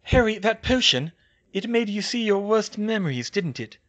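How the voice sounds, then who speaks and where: A man's voice: high-pitched, lively intonation, moderate pace, a bit bright, highs cut off, pitched above the performer's own voice. A man voicing a bossy, anxious young witch in an audiobook, speaking with urgent, commanding emphasis. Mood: concerned. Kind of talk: audiobook character voice.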